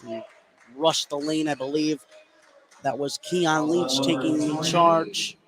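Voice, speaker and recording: A man's voice: a little high, steady tone, slow, over a noisy crowd, low-quality recording. A man giving live play-by-play commentary on a basketball game for radio.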